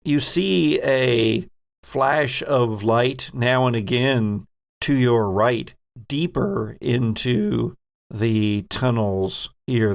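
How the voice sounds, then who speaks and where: A man's voice: medium pitch, vocal fry. A man running a tabletop horror game as game master, improvising suspenseful narration. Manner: suspenseful, second-person scene-setting.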